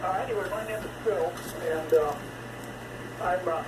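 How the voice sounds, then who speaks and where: A man's voice: high-pitched, normal speed. An American man heard over shortwave radio, chatting casually as a ham operator in a folksy, wry, rambling way. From West Coast of the United States. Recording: noisy, echoey shortwave radio reception.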